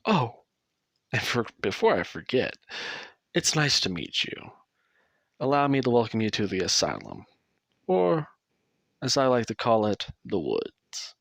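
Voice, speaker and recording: A man playing a tall, coolly polite asylum doctor in an audio roleplay, soft-voiced and measured, with veiled menace. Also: medium pitch, huge pitch swings, vocal fry, no echo, clean studio sound, heavily acted.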